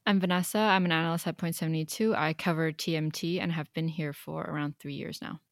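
A woman's voice: deep voice, steady tone, fast, dry recording, studio quality. A young woman from Hong Kong, an analyst guest, answering interview questions in a thoughtful way with some hesitations.

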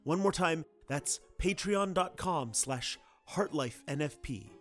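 A man reading a scripted podcast announcement as the show's producer, in an earnest, clearly phrased appeal. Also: fairly high pitch, lively intonation, takes it slowly, a bit bright, faint music behind. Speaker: executive producer of the show.

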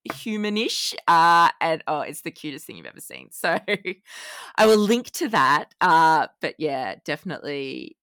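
A woman's voice: low voice, lively intonation, a little slow, a bit bright, smooth, dry recording, studio quality. A woman co-hosting a casual fan podcast, chatting with an enthusiastic manner. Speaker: podcast co-host.

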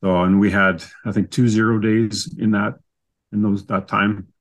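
Low-pitched Canadian man, easygoing storyteller. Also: little pitch movement, moderate pace. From Canada, southern Saskatchewan. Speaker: podcast guest. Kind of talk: casual storytelling in an interview.

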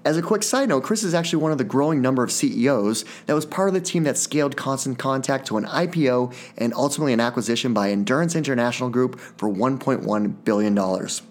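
A man's voice: mid-range voice, animated, fast, hardly any pauses. A man hosting a tech podcast, reading a prepared intro and ad, then chatting with his guest in a friendly way. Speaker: podcast host. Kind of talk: scripted intro then conversational interviewing.

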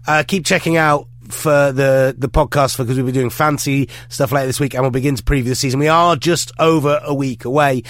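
A man's voice: mid-range voice, dry recording. A man hosting a casual sports podcast, bunged up with a head cold and rambling through his points. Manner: rambling, with restarts and fillers. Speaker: podcast host.

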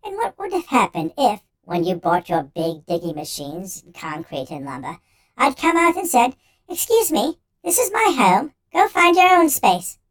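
A man's voice: extremely high pitch, huge pitch swings, moderate pace, a bit bright, pitched above the performer's own voice, heavily acted. A man voicing a small, pedantic homunculus who lectures indignantly in a storybook tale. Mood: outraged, sarcastic. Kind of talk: storybook character voice.

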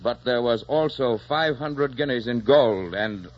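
An actor playing a dutiful but wary pirate first mate in a 1940s radio drama, deferential even when objecting. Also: male voice, mid-range voice, a little slow. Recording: dull, muffled 1940s radio recording.

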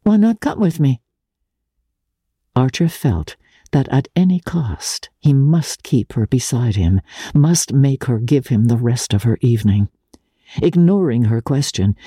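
A woman reads classic fiction as a dramatic narrator. Her voice is dark and husky, very close and dry, and she delivers each phrase slowly and deliberately. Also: very deep voice, no room sound. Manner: measured, deliberate, theatrical. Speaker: audiobook narrator. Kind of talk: dramatic scripted literary narration.

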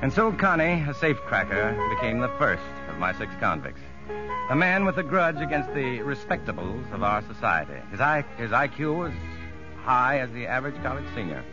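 A shrewd, composed prison psychologist, acted by a man tells his story in a vintage radio play. He is educated and dry, with lively intonation. The sound is dull, with music behind it. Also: mid-range voice, normal speed, lightly acted. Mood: reflective narration. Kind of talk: 1950s radio drama acting and narration.

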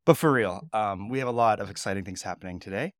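A man in his thirties chats casually on a podcast, rambling a little with plenty of fillers. His voice sits low and sounds groggy from the early morning. The sound is dry and close. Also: fast, dry recording. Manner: relaxed, rambling, chatty with fillers. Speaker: podcast co-host. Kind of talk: casual chat.

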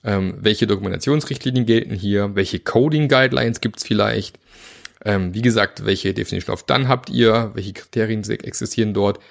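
Man with a cold, low gravelly voice, chatty teaching tone, lots of fillers. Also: normal speed. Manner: chatty, many fillers and asides. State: has a cold, sore throat. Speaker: agile coach teaching teams. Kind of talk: informal spoken lesson.

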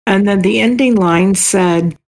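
Older woman, halting and thoughtful guest. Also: mid-range voice, a little mellow. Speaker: interview guest, author. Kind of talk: casual interview talk.

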